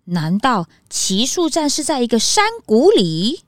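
A keen young sidekick from a children's detective story, always curious and practical. The voice is high and lively, with a childlike manner. Music plays clearly underneath. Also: female voice, measured pace, no room sound, studio quality. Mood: inquisitive. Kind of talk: children's detective audio drama.

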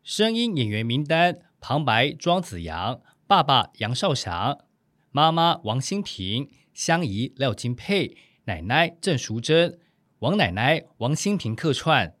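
Slow, expressive male radio presenter. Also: medium pitch, no echo, studio quality. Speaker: presenter introducing a radio story. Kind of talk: scripted radio introduction.

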